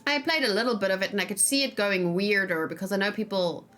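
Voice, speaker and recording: A woman doing casual let's play commentary, chatty and expressive, thinking out loud and reading game text aloud. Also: medium pitch, fast.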